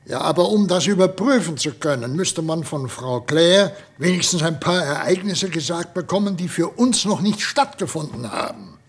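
An actor playing an older, formal and skeptical professor in a science fiction radio play. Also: male voice, fairly high pitch, lively intonation, moderate pace, hardly any pauses, husky.

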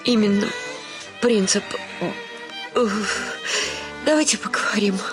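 An actress playing a landowner's quarrelsome, hysterical daughter, stammering and melodramatic in a theatrical radio comedy. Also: female voice, medium pitch, slow, music in the background, close to an everyday voice. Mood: meek, conciliatory.

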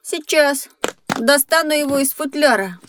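A woman's voice: sits high, measured pace, slight background noise. A woman voicing a naive, curious young schoolboy who tends to whine, in an educational audio play. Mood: disappointed. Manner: childlike, earnest, expressive. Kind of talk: scripted educational audio drama.

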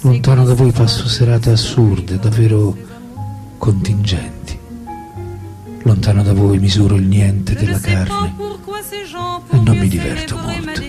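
Radio drama: male actor as a French intellectual, low voice, candid and intimate, theatrical delivery over clear music. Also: measured pace, pauses often, close to an everyday voice. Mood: tender, confessional. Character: French philosopher-writer reminiscing and reading his love letters, candid. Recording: music behind the voice.

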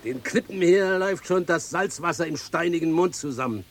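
A man's voice: fairly high pitch, moderate pace, slight background noise, lightly acted. A man acting a dour, grumbling island coachman in a German radio drama.

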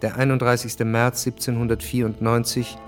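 A man's voice: mid-range voice, little pitch movement, moderate pace. Male narrator for scripted radio drama, middle register, music bed.

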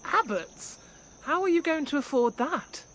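A woman's voice: high-pitched, animated, measured pace. An actress playing a blunt, idealistic young bohemian woman in a radio drama, speaking in firm declarations. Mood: wry. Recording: loud background noise.

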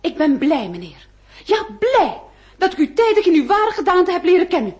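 A woman's voice: extremely high pitch, very animated, moderate pace, darkish tone, dull audio. A woman acting a haughty, indignant middle-aged marchioness in a radio play, with pompous, formal diction. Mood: outraged, scornful. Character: haughty, indignant aristocratic marchioness, mother of a marriageable daughter.

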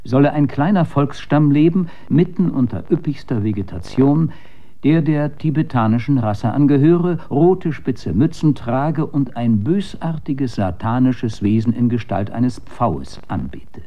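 A man's voice: mid-range voice, expressive. Suspenseful male storyteller, slightly dull recording. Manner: measured, suspenseful, with hushed phrase endings. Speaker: narrator of a radio story. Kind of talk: dramatic literary narration of an eerie tale.

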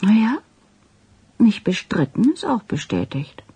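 Self-assured reporter, husky, very varied intonation, playful, insistent, radio drama. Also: female voice, medium pitch, big pitch swings, unhurried, warm tone, full character voice. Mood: probing. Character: persistent, teasing, self-assured female reporter. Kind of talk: German radio drama acting.

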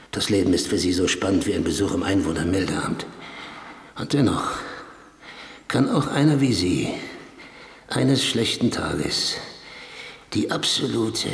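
Gravelly, vain philosopher. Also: male voice, lowish voice, fairly bright tone, full character voice. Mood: mocking. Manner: pompous, aphoristic, mocking. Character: vain, world-weary male philosopher-writer, sardonic and provocative. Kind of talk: German radio drama acting.

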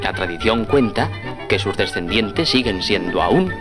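A man's voice: lowish voice, animated, unhurried, loud music behind the voice. A man narrating a scripted children's tale in a storytelling style.

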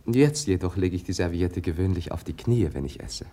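A man's voice: sits low, a little fast, warm tone. A man playing a candid, naive young prince in a radio drama, speaking with hesitant, earnest politeness. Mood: polite.

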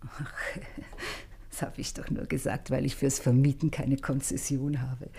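An actress playing a chatty, excitable widowed landlady in a German radio play, confiding and easily flustered. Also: very deep voice, a little slow, gravelly, in a whisper, full character voice. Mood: chatty, polite. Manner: talkative, confiding, excitable, breaks into exclamations.

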